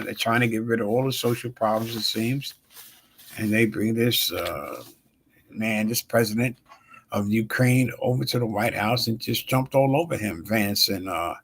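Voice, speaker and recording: Guy in his 60s hosting a livestream, gravelly and kinda husky, rambles and stops and starts, shouts out viewers. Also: on the low side. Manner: rambling, halting, many fillers; greets viewers warmly by name. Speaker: show host. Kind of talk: casual live-stream talk.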